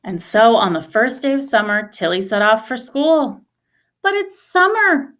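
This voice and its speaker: A woman in her thirties reading a children's storybook aloud like a teacher, expressively and with deliberate emphasis on repeated words. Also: mid-range voice, lively intonation. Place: small indoor room.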